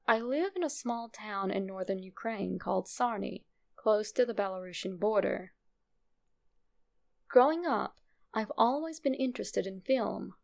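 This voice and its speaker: Creaky-voiced woman narrating horror. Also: mid-range voice, a little mellow. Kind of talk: scripted creepypasta narration, first person.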